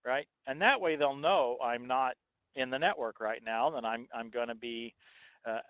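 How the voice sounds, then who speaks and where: A man giving a casual, explanatory product review as host, in a halting way with check-in questions, recorded through an intercom headset microphone. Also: mid-range voice, no room sound, phone-quality sound.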